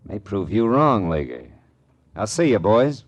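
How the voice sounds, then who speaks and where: An American actor playing a tough, sardonic private detective in a 1940s radio drama, terse and wry. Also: male voice, fairly low pitch, big pitch swings, measured pace, very noisy. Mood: brisk, pressing. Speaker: lead actor and narrator. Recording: vintage radio drama with music.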